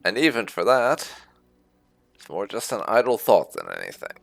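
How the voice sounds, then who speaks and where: A man's voice: fairly low pitch, huge pitch swings, moderate pace, creaky, dry recording. A man giving casual in-character roleplay commentary on a video game, musing wryly with muttered asides. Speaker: gaming channel host.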